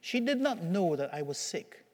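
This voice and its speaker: A man preaching a homily as a priest, with a slightly raspy voice and a measured, emphatic delivery full of dramatic pauses. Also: high voice, very animated. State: slightly raspy voice from recent illness. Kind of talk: sermon. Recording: long hall reverberation.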